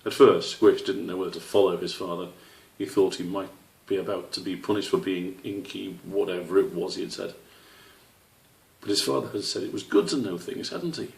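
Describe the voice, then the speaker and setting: A man's voice: low voice, normal speed, gravelly, darkish tone. A man reading a children's story aloud as its narrator. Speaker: author reading own story. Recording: roomy, slightly distant microphone.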